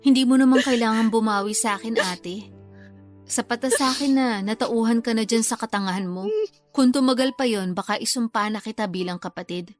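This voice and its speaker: An actress plays a blunt Filipino younger sister in a radio drama. Her voice is fairly high and reproachful, frank but caring, with a light music bed behind her. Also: fairly quick, lightly acted. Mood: scolding.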